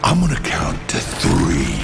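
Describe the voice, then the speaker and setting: A man voicing a huge, mocking demon boss in a video game cutscene, with a gravelly, taunting delivery. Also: wildly swooping pitch, heavily acted. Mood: threatening. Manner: slow, taunting, menacing delivery. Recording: loud music and rumble behind.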